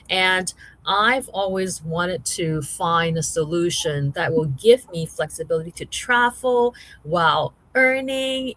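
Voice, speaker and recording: A middle-aged woman with a slightly low voice hosts a live online chat. She is from Hong Kong and grew up in Canada, and she speaks as the interviewer. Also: fairly bright tone, dry recording, rough recording. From Hong Kong, raised in Canada. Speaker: host and interviewer.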